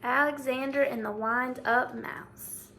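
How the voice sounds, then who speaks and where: A woman's voice: high-pitched, steady tone, slow pace, gravelly, bright, forceful tone, a little hiss or hum. A woman reading a picture book aloud to children like a teacher, commenting and prompting them with questions.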